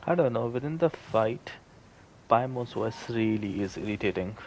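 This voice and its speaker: A man casually commenting on a game as he plays, relaxed and low-key. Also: normal speed, a little hiss or hum. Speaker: gameplay streamer.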